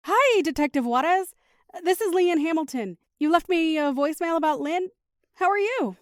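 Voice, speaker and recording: A woman's voice: extremely high pitch, animated, normal speed, no echo, clean studio sound. A woman playing a caring, upbeat middle-aged mother in an audio drama, speaking in a chatty, reassuring way. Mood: polite, upbeat. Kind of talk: naturalistic audio drama acting.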